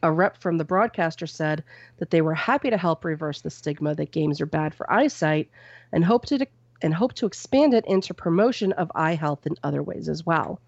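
Gravelly low-voiced woman, casual chat. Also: animated, moderate pace, no echo, highs cut off. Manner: reads out a news story, then riffs on it loosely with "um" and "you know". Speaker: podcast co-host reading news. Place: at home with a cat nearby. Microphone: microphone on a boom arm.

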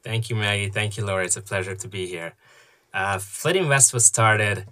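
A man from Hungary, a podcast guest explaining investing in a measured way with frequent pauses and fillers. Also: fairly low pitch, pushed and bright. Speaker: guest, investment app founder. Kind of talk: podcast interview.

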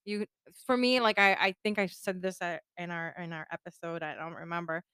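A woman podcast co-host from Puerto Rico with a smooth, resonant voice. She talks casually and laughs often, switching into Spanish now and then. The sound is close and dry. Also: fairly low pitch, fairly even intonation, brisk, pushed and bright, no room sound. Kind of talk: casual chat.

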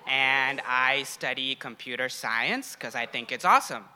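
A man's voice: mid-range voice, unhurried, bright, forceful tone, a little hiss or hum. A young man from Sri Lanka and India speaking to a congregation in an informal, conversational sermon style, sharing personal stories. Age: young adult. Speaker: guest preacher, grad student.

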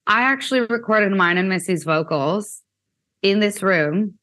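A woman's voice: medium pitch, expressive, a little slow, fairly bright tone. A young woman from Canada, a musician, chatting in an interview with lots of "like" and "um". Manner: chatty, many fillers like "like" and "um". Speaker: musician guest. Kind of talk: casual interview chat.

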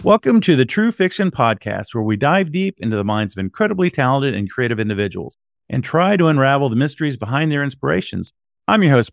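A man hosts a casual interview podcast. His voice sits at a middle pitch, and the sound is dry with very little room. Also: gravelly, dry recording. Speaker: podcast host.

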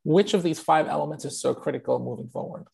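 A man hosting a webinar, hoarse as his voice recovers from a throat infection, talking directly to his audience in an upbeat way. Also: fairly high pitch, fast talker, darkish tone. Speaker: webinar host. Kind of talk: webinar presentation.

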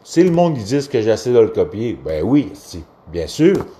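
A man's voice: fairly low pitch, sing-song, moderate pace, warm tone, a little hiss or hum. A man from Quebec with a Québécois accent, giving a casual, rambling rant in a crude, profane, hesitant way. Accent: Québécois French.

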